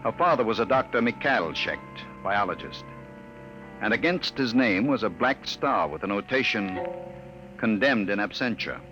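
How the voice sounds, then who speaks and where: A man's voice: on the low side, very animated, moderate pace, bright, forceful tone, soft background music. A man acting an earnest, skeptical off-world archaeologist in a 1950s radio drama. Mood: narrating, grave. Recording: noisy muffled old radio broadcast.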